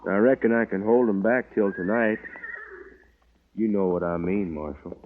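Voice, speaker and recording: A man's voice: lowish voice, normal speed, phone-quality sound, lightly acted. A man acting a plain-spoken, insistent homesteader in a 1950s radio western. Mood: quietly warning. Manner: rustic, folksy speech. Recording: thin, noisy old radio recording.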